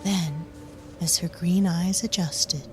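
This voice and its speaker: A woman performing as a solemn storyteller, giving measured, grave scripted narration for a dark fairy-tale drama. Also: on the low side, steady tone, soft background music. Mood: grave, hushed.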